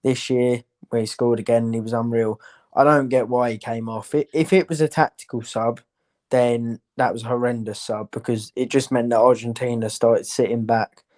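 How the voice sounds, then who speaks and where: A man's voice: mid-range voice, steady tone, normal speed, a little mellow. A man giving blunt, opinionated football analysis as a panellist in casual chat.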